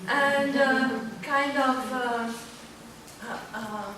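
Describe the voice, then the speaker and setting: Slow, halting Moroccan-accented woman, very echoey. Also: high voice, steady tone, bright, forceful tone. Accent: Moroccan Arabic. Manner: halting, searching for words. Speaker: student panelist. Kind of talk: informal personal talk at a panel. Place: library event room, indoors. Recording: very echoey, distant, clearly noisy room recording.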